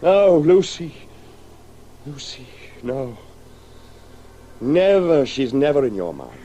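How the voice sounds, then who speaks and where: An actor playing a grieving father in a radio play, accusing in halting, reproachful phrases. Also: medium pitch, big pitch swings, mellow, muffled recording, exaggerated voice. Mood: bitter, grieving.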